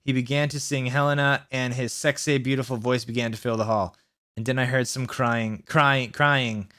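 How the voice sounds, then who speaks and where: A man with a mid-pitched voice reads a story aloud as a comic host. His delivery is sardonic and dramatic, breaking off for mocking asides and sounding out the mistakes. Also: fairly even intonation, sharp tone, clear, resonant tone. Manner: deliberately reads out misspellings, sardonic. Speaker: host reading fan fiction aloud.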